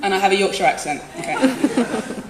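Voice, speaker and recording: A woman's voice: mid-range voice, lively intonation, moderate pace. A woman performing spoken word live, chatty and self-deprecating, often laughing between lines. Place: live concert venue. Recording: echoey hall with faint room noise.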